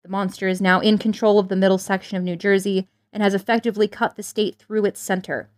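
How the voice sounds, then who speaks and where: A serious female announcer for a radio play, acted by a woman. She delivers urgent invasion bulletins formally and with measured gravity. Her voice sits at an average pitch. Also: flat delivery, close to an everyday voice. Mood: grave, solemn. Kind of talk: radio drama news bulletin.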